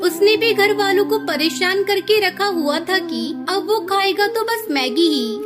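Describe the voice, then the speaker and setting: A woman's voice: very high-pitched, unhurried, music in the background. A woman narrator reading a scripted children's story.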